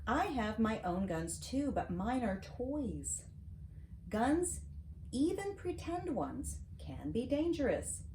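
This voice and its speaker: A middle-aged American woman reading a storybook aloud to a child, with casual asides and questions, in an expressive, teacherly manner. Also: on the high side, slow pace. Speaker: host reading to her granddaughter. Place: home library, seated at a desk. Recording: roomy echo, clear background noise.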